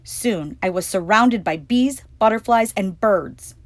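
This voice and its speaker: A woman hosting storytime, reading a children's picture book aloud with expressive, clearly phrased delivery. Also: mid-range voice, unhurried, no echo. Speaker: storytime reader and host.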